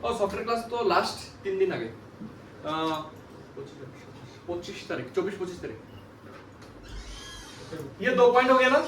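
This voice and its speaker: Male repair instructor, high voice, emphatic question-and-repeat teaching, distant echoey classroom sound. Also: very animated, normal speed, pauses often. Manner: repetitive, emphatic teaching with frequent rhetorical questions. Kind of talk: classroom lesson, explaining with check questions. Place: indoor classroom. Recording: echoey room, distant mic, faint background noise.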